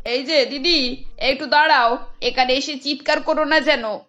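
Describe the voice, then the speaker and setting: A woman's voice: sits high, fairly even intonation, measured pace, fairly bright tone. Woman voicing a sly, theatrical older mother-in-law. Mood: sly, mock worried. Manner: wheedling, dramatic complaining. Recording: dull audio over background music.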